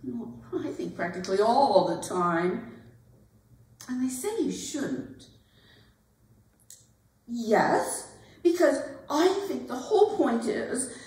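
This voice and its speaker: A woman performs a young society debutante, giddy, earnest and a little self-important. Her voice is high and swoops about with lively, halting delivery, as in a staged reading. It rings with heavy echo, like a hall heard from some way off. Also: distant mic, exaggerated voice. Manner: gushing, halting, breathy exclamations and giggles. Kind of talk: theatrical dramatic monologue at a staged reading. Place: arts center performance space. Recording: echoey hall, picked up from afar.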